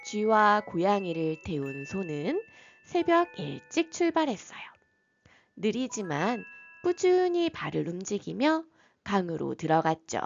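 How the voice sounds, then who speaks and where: A woman narrating a soft bedtime folktale gently, in a lilting storyteller's way. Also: mid-range voice, creaky. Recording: slightly dull, soft music behind.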